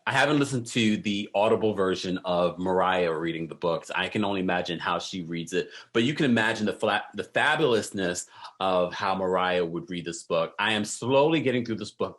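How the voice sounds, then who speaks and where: Low-voiced man chatting to viewers. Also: fairly bright tone. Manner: conversational, addresses viewers directly. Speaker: online video host. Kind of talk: casual chat to viewers.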